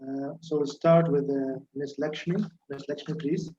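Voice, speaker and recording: A man moderating a webinar, polite and formal, introducing speakers with pauses and fillers. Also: on the high side, little pitch movement, warm tone, dull audio.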